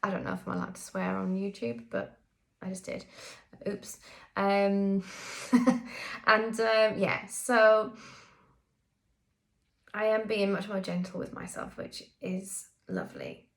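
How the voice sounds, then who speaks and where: Chatty woman vocal coach with gravelly fry. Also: mid-range voice, fairly even intonation, slow pace, pauses often. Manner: chatty, candid, frequent ums and self-corrections. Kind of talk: casual personal vlog.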